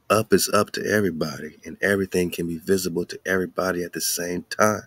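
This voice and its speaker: A man's voice: low voice, dry recording. An American man hosting, reading a text aloud and breaking into colloquial, off-the-cuff commentary with emphatic asides. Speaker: host.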